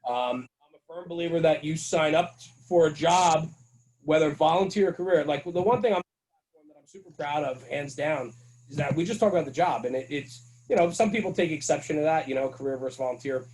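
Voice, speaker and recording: Man, middle-aged, emphatic, earnest, repeats himself for stress, slightly high-pitched. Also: a little fast, a little mellow, poor audio. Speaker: guest, firefighter and fire-service radio host. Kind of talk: casual podcast interview.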